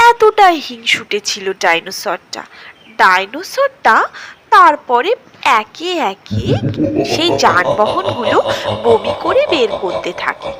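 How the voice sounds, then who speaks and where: A woman narrating an animated children's story in a dramatic, expressive storytelling manner. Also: high voice. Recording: clearly noisy background.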